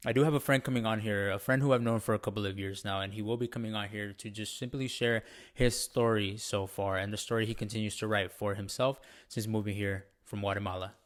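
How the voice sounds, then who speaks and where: A man hosting a podcast, who welcomes listeners politely and asks his guest measured, structured questions. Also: on the low side, fairly even intonation. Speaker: podcast host.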